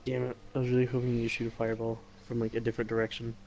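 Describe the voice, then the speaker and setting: A man's voice: medium pitch, monotone, very fast, darkish tone, lo-fi sound. A man talking casually as a player in a tabletop role-playing game.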